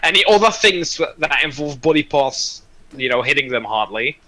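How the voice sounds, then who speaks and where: Man, casual stream chat, forceful bright tone, lively pitch, blunt, sweary, rambling. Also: moderate pace, low-quality recording.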